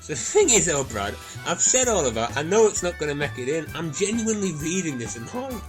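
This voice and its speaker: Irritated, foul-mouthed man, fairly high voice, acted. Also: very animated, fairly quick, over music. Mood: annoyed. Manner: sweary, exasperated. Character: foul-mouthed, irritated castaway heckling the others. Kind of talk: comedy radio play acting.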